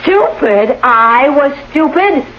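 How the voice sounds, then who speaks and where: A woman's voice: high-pitched, expressive, takes it slowly. A woman playing a scheming, jealous villain who poses as a caring friend, in melodramatic 1980s radio drama style. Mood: bitter, frantic. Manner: coaxing endearments, melodramatic. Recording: dull, noisy old radio recording.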